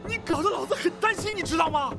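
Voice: extremely high pitch, fast talker, over music. An actor playing a brash, exasperated young character in an audio drama, scolding bluntly in slang. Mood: exasperated, worried. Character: brash, exasperated, foul-mouthed young person, slangy and worried.

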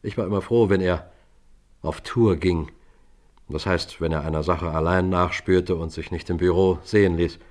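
A man's voice: deep voice, fairly even intonation, normal speed, darkish tone, natural voice. A man playing a composed police sergeant who narrates a radio crime drama in a precise, formal manner. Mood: recounting, composed. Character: composed police sergeant recounting an old case.

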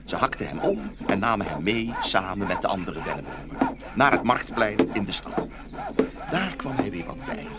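A man narrating a children's fairy tale in an expressive scripted storytelling style. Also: fairly low pitch, moderate pace. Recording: faint background noise.

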